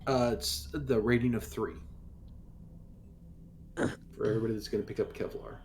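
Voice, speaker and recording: A man's voice: on the low side, fairly even intonation, quick pace, a little mellow. A man chatting casually as a player in a tabletop game, with slight noise in the background.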